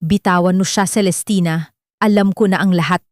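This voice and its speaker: A woman's voice: on the low side, fairly even intonation, brisk, no room sound, clean studio sound, lightly acted. A woman voicing a brave, devoted nanny and mother in an audiobook melodrama, impassioned and fiercely protective. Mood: defiant. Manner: impassioned, pleading, defiant.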